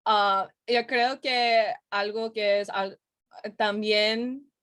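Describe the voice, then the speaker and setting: A woman's voice: mid-range voice, fairly even intonation, very slow, fairly bright tone, clean tone, no room sound, clean studio sound. A young woman student interviewing her teacher, speaking with a learner's slips and restarts. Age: young adult. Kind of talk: class interview.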